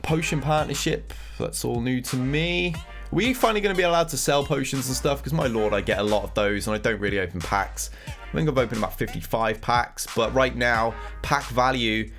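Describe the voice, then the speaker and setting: A man's voice: medium pitch, fairly quick, a bit bright, soft background music. A man with a cold and a nasal voice, giving casual commentary on game news as a gaming content creator. He rambles and thinks aloud as he reacts.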